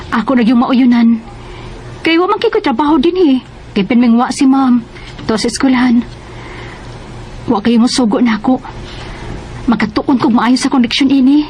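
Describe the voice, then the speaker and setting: An actress playing a grateful, eager nineteen-year-old working student daughter in a radio drama. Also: female voice, high-pitched, a little mellow, a little hiss or hum, natural voice. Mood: delighted, grateful. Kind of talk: Cebuano radio drama acting.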